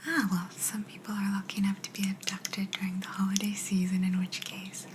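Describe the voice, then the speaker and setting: A woman voicing a calm, politely superior alien examiner in an ASMR sci-fi roleplay, in a soft breathy whisper with a gentle, musing manner. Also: medium pitch, heavy background noise, natural voice. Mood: soft, attentive. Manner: slow, gentle, hesitant musing. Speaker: ASMR performer.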